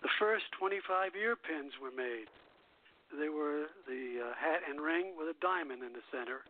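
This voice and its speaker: A man's voice: fairly high pitch, expressive, moderate pace. An older man hosting a radio show, reading scripted music history and chatting casually between passages. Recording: thin phone-line audio.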